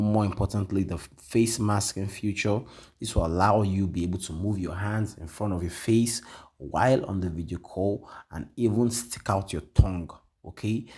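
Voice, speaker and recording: A man walking viewers through a piece of software in a casual tutorial, repeating points and tagging sentences with "okay?" and frequent "um" fillers. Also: low voice, husky. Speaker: tutorial presenter. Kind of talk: screen-share software walkthrough.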